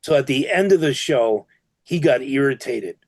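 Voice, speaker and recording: A man in a casual chat, telling a story in an animated way. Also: mid-range voice, normal speed, no echo, clean studio sound.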